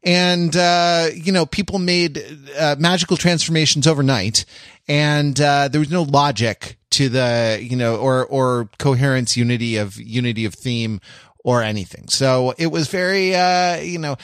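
Guy cohosting a podcast, mid-pitched voice, wry and rambly, really dry close sound. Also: normal speed, a bit bright, smooth, no echo. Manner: wordy, discursive, wry. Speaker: podcast host. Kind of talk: casual chat about TV shows.

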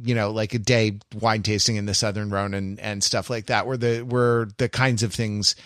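A man's voice: fairly low pitch, little pitch movement, moderate pace, a bit bright, dry recording. Wry, digressive man in a close, dry recording. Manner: hesitant, digressive, many restarts. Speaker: podcast co-host. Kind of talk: casual podcast discussion.

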